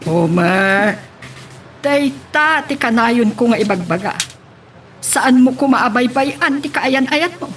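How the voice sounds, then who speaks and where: A woman's voice: on the high side, lively intonation, slow, fairly bright tone, faint music behind. A woman playing a concerned, admonishing mother in an Ilocano radio drama, with a Filipino accent.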